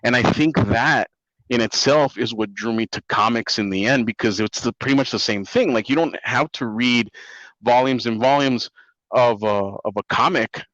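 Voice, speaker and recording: A man in his forties whose first language is Spanish, telling stories in a relaxed, rambling way as an interview guest over a call. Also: medium pitch, normal speed, dry recording, lo-fi sound. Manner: relaxed, rambling storyteller with many fillers. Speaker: interview guest, comic writer. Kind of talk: casual interview conversation.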